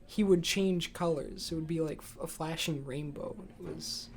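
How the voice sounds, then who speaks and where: A man's voice: a little high, moderate pace, slight background noise. A young American man co-hosting a podcast in a casual, conversational style. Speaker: podcast co-host. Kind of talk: casual chat.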